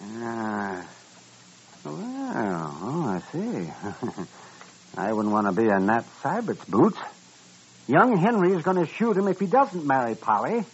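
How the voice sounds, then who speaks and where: A crusty old American frontier doctor in a 1950s radio western. The voice is very raspy and fairly high, swooping up and down as it grumbles. The vintage recording is dull. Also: male voice, huge pitch swings, exaggerated voice. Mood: wry, intrigued. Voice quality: raspy, husky. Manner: cantankerous, drawn-out, swooping delivery.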